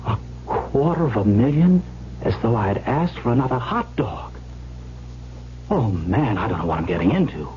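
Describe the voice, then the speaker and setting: A man's voice: mid-range voice, very animated, normal speed, warm tone, lightly acted. An actor playing a brash, carefree young heir who tells his own story in a radio drama, wry and breezy. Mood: wry, excited. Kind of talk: 1970s radio drama acting with first-person narration. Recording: dull old radio recording, faint hum.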